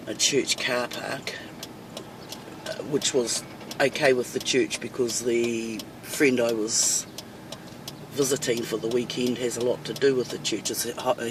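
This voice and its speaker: A middle-aged woman with a New Zealand accent, bluntly ranting and swearing to her phone camera while driving. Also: very deep voice. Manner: blunt, fiery, swears freely. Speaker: vlogger. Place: inside a van in traffic. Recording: distant phone mic with loud road noise.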